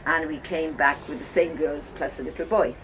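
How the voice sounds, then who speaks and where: An older woman from Wales telling her life story casually, reminiscing in a halting, conversational way. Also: deep voice, fairly even intonation. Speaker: host of guest lodging, farmer's wife. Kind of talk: casual personal storytelling to guests. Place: informal talk to visitors, filmed by a guest. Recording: noisy ambient home video.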